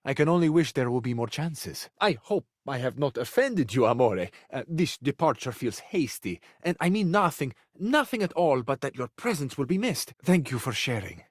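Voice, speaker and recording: A dry, close recording of a romantic Italian charmer from a video game. He speaks in an Italian accent at a middling male pitch. His manner is effusive and courtly, full of poetic longing and tender flirtation. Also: sing-song, moderate pace, hardly any pauses, dry recording. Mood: fond, hesitant. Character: romantic, poetic Italian lover, flowery and yearning. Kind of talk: video game character acting, romantic dialogue.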